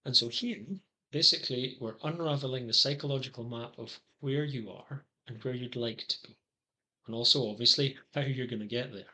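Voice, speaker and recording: A middle-aged man with a Scottish accent and a very bright, ringing voice, pitched in the middle range. He lays out his services in a scripted pitch, slipping dry jokes between earnest points. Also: a little fast, poor audio. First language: English. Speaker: psychology consultant. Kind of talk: scripted promotional monologue.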